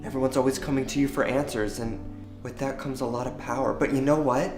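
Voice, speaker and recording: A man plays an earnest, pleading son in a melodramatic web drama. He speaks with preachy sincerity at a middling pitch. The dialogue sounds roomy, with music underneath. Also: steady tone, fast, close to an everyday voice.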